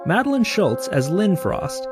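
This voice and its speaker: A man delivers scripted narration for a radio-style drama. His fairly high voice moves with animated intonation in a measured storytelling manner. Music plays clearly behind him.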